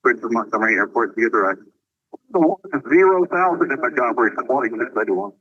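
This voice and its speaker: A man's voice: medium pitch, big pitch swings, fast, slightly rough, dry recording. A man speaking over aviation radio in clipped, procedural air traffic phrasing. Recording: dull, band-limited aviation radio.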